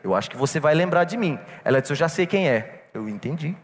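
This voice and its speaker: A man's voice: fairly high pitch, lively intonation, fairly quick. A man preaching a sermon to a church congregation, telling a personal story and calling them brothers. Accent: non-local Brazilian. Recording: long reverb in a church hall.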